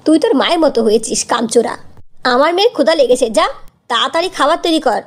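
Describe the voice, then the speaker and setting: Woman acting a high-pitched, scolding cruel stepmother. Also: animated, fast. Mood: harsh scolding. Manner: scolding, demanding, sarcastic. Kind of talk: Bengali fairy-tale cartoon dubbing.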